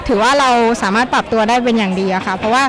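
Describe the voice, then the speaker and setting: Fast-talking young woman, polite and hesitant, interviewed amid a noisy crowd. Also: a little high. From Thailand. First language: Thai. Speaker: exchange student. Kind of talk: street interview. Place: outdoor temple event.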